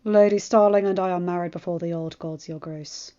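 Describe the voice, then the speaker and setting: A woman voicing a diplomatic young lord in audiobook dialogue, formal, measured and detached. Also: on the low side, fairly even intonation, dark tone, dull audio, close to an everyday voice. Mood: cold. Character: cold, guarded, diplomatic young lord, male.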